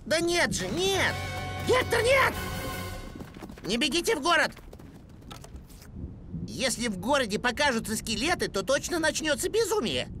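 A man voicing a small, fussy green wish-granting creature in a dubbed cartoon, talking in eager, excitable bursts. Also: very high-pitched, measured pace, long pauses, over music, heavily acted. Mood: excited. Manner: bouncy, exclamatory, eager. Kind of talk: cartoon dub acting.

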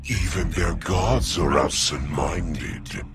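An actor playing a scornful, vengeful ancient villain with a gravelly voice and a menacing, theatrical delivery in a video game. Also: male voice, low-pitched, slow pace, heavy background noise. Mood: scornful brooding. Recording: loud music underneath.

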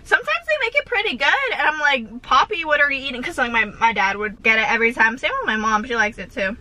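A woman's voice: high voice, expressive, fast talker, pushed and bright. A young woman chatting in a bubbly, giggly way, with lots of "like", while eating in a parked car. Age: young adult. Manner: bubbly, says "like" constantly, giggly. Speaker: vlogger. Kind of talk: casual chatty vlog with eating. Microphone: camera on dashboard facing her.

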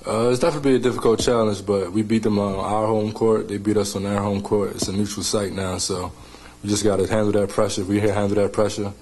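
A young man who plays college basketball, answering in a very low, flat voice at a quick pace. He keeps his answers short and matter-of-fact, and a faint hum sits behind him. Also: poor audio. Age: young adult. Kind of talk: press conference answers. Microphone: table microphone.

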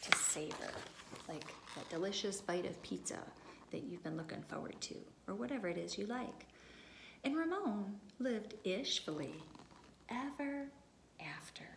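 A woman preschool teacher reading a story aloud to young children, expressive and deliberate, speaking to them directly. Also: mid-range voice, vocal fry. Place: empty classroom. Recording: echoey room with background noise.

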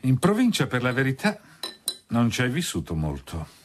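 Radio drama father: middle-aged lawyer, possessive and authoritarian, animated delivery, faint noise behind. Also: mid-range voice, moderate pace. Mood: cool, probing. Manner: curt, authoritarian. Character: stern, possessive lawyer father of a grown daughter. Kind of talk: Italian radio drama acting.

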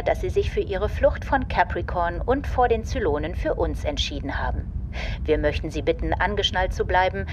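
A woman's voice: on the low side, noisy background, natural voice. A woman playing a courteous spaceship flight attendant making formal, composed announcements in a radio play. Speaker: narrator. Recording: music behind the voice. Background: music.

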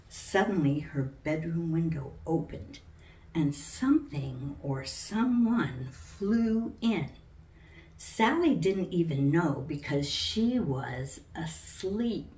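An older woman, around her sixties, reading a children's book aloud as its author with deliberate, dramatic storytelling. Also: low voice, expressive, darkish tone. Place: living room at home, indoors. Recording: roomy, distant from the mic.

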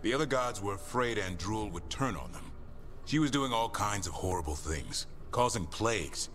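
An actor playing a thoughtful warrior companion in a video game, speaking seriously and matter-of-factly. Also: male voice, on the low side, lively intonation, moderate pace, a bit bright, soft background music. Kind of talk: game party dialogue.

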